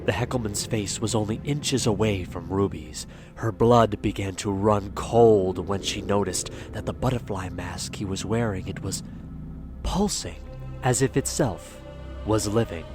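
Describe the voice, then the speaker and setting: A man's voice: on the low side, a little slow. A man narrating a horror audio drama in a dramatic, scripted style. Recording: loud music behind voice.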